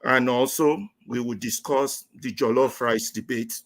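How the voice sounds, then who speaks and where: Nigerian host guy, mid voice, reads his remarks slow and deliberate. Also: medium pitch, steady tone. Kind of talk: prepared talk-show remarks.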